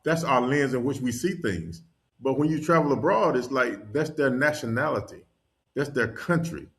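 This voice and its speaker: A man's voice: medium pitch, lively intonation, normal speed, a bit bright. A man from North Carolina talks about his travels in easygoing conversation. He tells his stories with animation and breaks into laughter often. His voice sits in an ordinary middle range for a man. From North Carolina, USA. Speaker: podcast guest, world traveler. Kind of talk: casual conversation.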